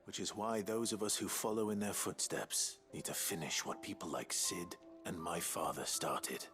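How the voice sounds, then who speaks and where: An actor playing a modest, steadfast noble hero in a video game, measured, understated and formal. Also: male voice, low-pitched, a bit bright. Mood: thoughtful. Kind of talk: video game character dialogue. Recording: game music behind the voice.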